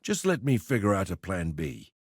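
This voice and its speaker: A man's voice: low voice, big pitch swings, normal speed, breathy edge, no room sound, clean studio sound, exaggerated voice. A man voicing a roguish arena fighter and pitmaster in a video game, with cheeky, slangy, theatrical tough-guy talk. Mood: wry, bargaining. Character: roguish adult male arena fighter and pitmaster turned syndicate member. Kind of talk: video game character voice lines.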